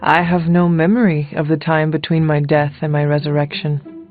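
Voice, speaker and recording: An actor playing an earnest, resolute resurrected warrior in a video game, speaking in a formal, measured way. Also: male voice, a little high, level tone, soft background music, natural voice. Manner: formal, measured, avoids contractions. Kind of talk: video game character dialogue. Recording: thin, phone-like narrow audio.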